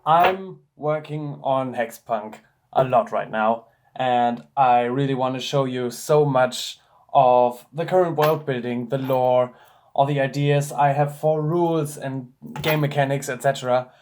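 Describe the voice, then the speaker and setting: Slow-talking German man vlogging. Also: mid-range voice, slight background noise. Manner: relaxed, thinks aloud with many ums. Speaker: tabletop game designer. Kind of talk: casual vlog talk to camera. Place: home workshop.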